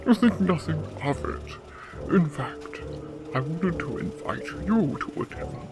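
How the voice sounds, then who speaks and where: A man playing a genteel, flattering criminal mastermind and nightclub owner in a radio drama, speaking in a courtly, effusive, formal way. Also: a little high, slow pace, music in the background. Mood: smooth courtly flattery.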